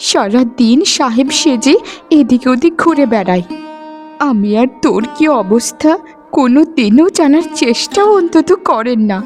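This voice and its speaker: A woman's voice: high-pitched, animated, normal speed, a little mellow, soft background music. A woman voicing a long-suffering poor village wife and mother in a cartoon, plaintive and weary. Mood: sorrowful. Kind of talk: cartoon voice acting in a moral story.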